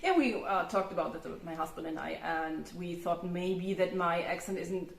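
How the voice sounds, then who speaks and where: A woman's voice: fairly low pitch, moderate pace, creaky, roomy sound. A woman with a German accent, hosting a casual chat and laughing often. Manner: laughs often, restarts, loose and chatty. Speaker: host.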